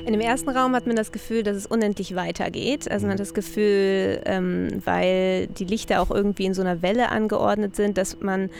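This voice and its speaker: A woman from Berlin, mid-pitched, talking casually as an event organizer. She hunts for words as she describes things. Faint music underneath. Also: steady tone, a little fast, gravelly, few pauses, a little mellow. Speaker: exhibition organizer, guest. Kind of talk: casual interview talk.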